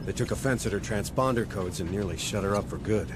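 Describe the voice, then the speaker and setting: An actor playing a gruff, stubborn middle-aged marine sergeant and troubled father in video game dialogue, blunt and sardonic. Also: on the low side, fast talker, noisy background, close to an everyday voice. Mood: matter-of-fact.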